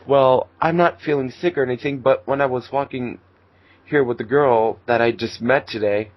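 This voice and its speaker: A man's voice: mid-range voice, moderate pace, no echo, dull audio, close to an everyday voice. A man voicing a shy, innocent, nervous teenage boy in an amateur fan fiction reading. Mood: confused, innocent. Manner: hesitant, nervous.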